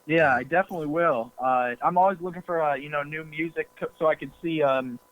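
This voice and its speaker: A man's voice: a little high, brisk, slight background noise. Young man on a tinny phone line. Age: young adult. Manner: laughing easily, hesitant, filler-heavy. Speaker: podcast guest. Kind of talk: casual podcast chat.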